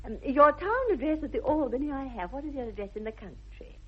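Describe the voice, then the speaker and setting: Commanding upper-class English young lady, high-pitched, precise, grandly romantic, vintage radio comedy. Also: animated, fairly quick. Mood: grandly devoted. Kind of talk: 1960s BBC radio comedy acting. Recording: muffled vintage radio recording.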